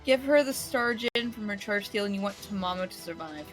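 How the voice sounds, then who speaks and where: A woman's voice: mid-range voice, animated, fairly quick. A woman chatting casually as a co-commentator on a game stream. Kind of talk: casual gaming chat. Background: music.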